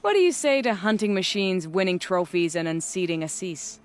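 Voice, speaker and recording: A woman's voice: lowish voice, lively intonation, normal speed, soft background music, lightly acted. A woman playing a confident, proud hunter and mentor in a video game cutscene, assured and challenging. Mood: proud assurance.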